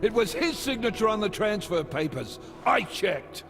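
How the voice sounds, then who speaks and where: A stern police commander in a video game. His pitch is a bit high for a man, and he sounds blunt and exasperated. Clear music runs underneath. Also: big pitch swings, normal speed, long reverb tail, heavily acted. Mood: angry. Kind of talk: cinematic video game acting.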